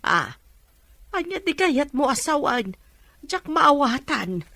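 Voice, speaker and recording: A woman's voice: sits high, big pitch swings, slow pace, bright, forceful tone, dry recording, exaggerated voice. A woman playing a disapproving, fretful older mother in an audio drama, with a nagging manner. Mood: reluctant, worried. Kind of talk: Ilocano audio drama acting.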